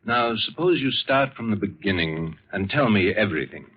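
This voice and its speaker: A man playing a calm, courteous, authoritative doctor in a vintage radio drama, speaking in a measured, husky voice. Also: lowish voice. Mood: firm, persuasive. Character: calm, reassuring, firm male doctor. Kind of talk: 1940s radio drama acting. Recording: muffled vintage radio recording.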